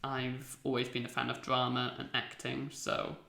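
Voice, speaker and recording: A man speaking in a measured way in a casual interview as an amateur actor. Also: medium pitch, monotone, vocal fry, bright, forceful tone. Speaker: amateur audio drama actor. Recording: echoey, roomy sound.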